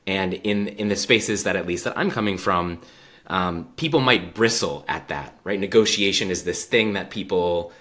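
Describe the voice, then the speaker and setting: A man's voice: low voice, normal speed, sharp tone. A man hosting a podcast interview, welcoming his guest and asking thoughtful questions with enthusiasm. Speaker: podcast host.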